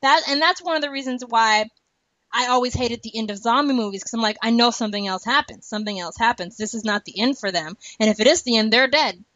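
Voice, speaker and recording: A woman's voice: fairly high pitch, quick pace, fairly bright tone, dry recording. A woman hosting a casual podcast discussion, chatty and giggly as she rambles through her opinions. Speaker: podcast host. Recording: dull lo-fi podcast audio.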